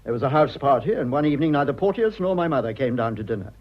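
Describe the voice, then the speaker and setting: An actor playing a prim, fussy, earnest son with an upper-class English accent in a radio drama. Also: male voice, medium pitch, animated, fast, muffled recording, lightly acted. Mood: indignant, rueful. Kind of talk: stage comedy of manners radio acting.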